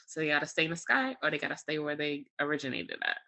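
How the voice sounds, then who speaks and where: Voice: medium pitch, wildly swooping pitch, fast talker, vocal fry, sharp tone. An air traffic controller talking casually as an interview guest, explaining things step by step with examples. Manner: explains step by step with examples, frequent "you know" and "like".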